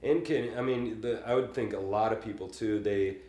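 Casual male host, rambling and easygoing, lowish voice, roomy echo. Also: steady tone, normal speed, a little mellow. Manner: laid-back, rambling, frequent backchannels. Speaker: podcast host. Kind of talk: casual podcast interview.

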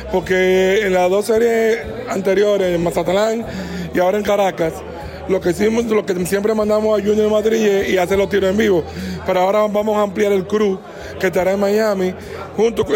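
A man being interviewed on a sports radio show, explaining his media team's plans in a relaxed way and often repeating words. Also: high-pitched.

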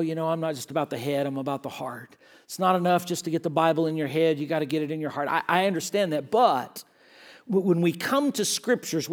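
Man, sermon, high-pitched voice, repeats and stresses phrases, quiet to loud swings. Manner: emphatic, repeats words and restarts phrases. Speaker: guest preacher.

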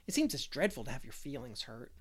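A woman voicing a lively little boy who chatters eagerly as she reads an audiobook. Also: rumbling low, quick pace. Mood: chatty. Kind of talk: audiobook character voice.

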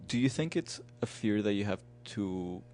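A man's voice: lowish voice, lively intonation, moderate pace, dark tone, a little hiss or hum. A young man hosting a podcast, talking casually and reflectively in an earnest way. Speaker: podcast host.

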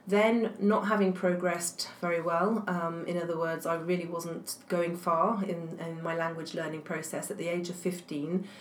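A woman's voice: fairly low pitch, little pitch movement, moderate pace, hardly any pauses. A woman, a university academic whose first language is French, answering interview questions thoughtfully with many fillers and restarts. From England. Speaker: guest academic. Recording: echoey room, faint noise.

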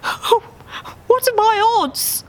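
Woman putting on a high, plaintive young-sounding male duck. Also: expressive, slow, full character voice. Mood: hopeful. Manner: plaintive, fretful. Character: anxious, hapless male duck, novice dancer. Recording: live theatre recording with background noise.

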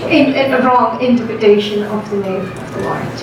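A woman's voice: a little high, normal speed, a crowd in the background. Hesitant woman, a native Dutch speaker. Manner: hesitant and apologetic. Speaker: class participant. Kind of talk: reciting a teaching from memory. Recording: echoey hall, distant mic, noisy background.

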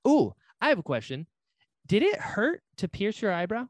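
A man hosting a casual interview chat, enthusiastic and quick to agree and encourage. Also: high-pitched, huge pitch swings, no room sound, studio quality. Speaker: podcast host.

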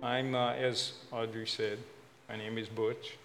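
A man from Hawaii with a Hawaiian accent, filling in as guest preacher and giving a casual, halting sermon. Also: medium pitch, level tone, fairly bright tone, soft background music. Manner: casual, self-deprecating, halting with fillers.

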